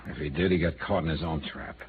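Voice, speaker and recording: An American actor playing a world-weary, blunt private investigator in a terse, dramatic 1950s radio noir style. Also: male voice, extremely low pitch, steady tone, fast, background noise. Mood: weary, puzzled. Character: world-weary hard-boiled male insurance investigator, brooding and blunt. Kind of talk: 1950s radio noir acting, narrating and dialogue. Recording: muffled vintage radio recording with music.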